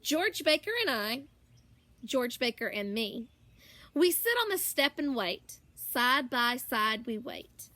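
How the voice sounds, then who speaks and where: A woman with a high-pitched voice reads a picture book for young children. She goes very slowly, lingering on words and leaving deliberate pauses, and her intonation swings widely in an expressive teacher's storytelling style. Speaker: primary school teacher.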